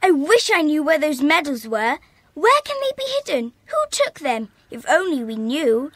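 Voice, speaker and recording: A woman's voice: high-pitched, big pitch swings, moderate pace, no echo. A woman playing a fretful, outspoken child in a children's audio drama.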